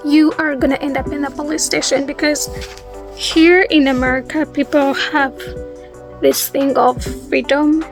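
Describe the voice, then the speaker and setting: Young female vlogger, Ugandan accent, high pitch, slow casual delivery over background music. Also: flat delivery. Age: young adult. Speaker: YouTube vlogger. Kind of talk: casual talk to viewers.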